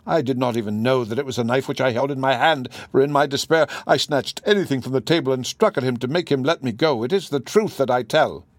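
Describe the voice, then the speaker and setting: A man reading aloud, voicing a dignified foreign woman confessing in a steady, grave way. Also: medium pitch, fast talker, hardly any pauses, dry recording, natural voice. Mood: resigned. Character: proud foreign woman, weary and defiant.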